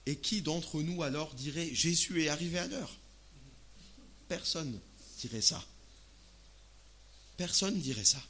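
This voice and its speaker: A man from Alsace preaching a sermon in casual, expressive, conversational language, often asking rhetorical questions. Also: fairly high pitch, measured pace, long pauses, slight background noise. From Alsace, France. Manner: expressive, uses rhetorical questions and acted-out asides.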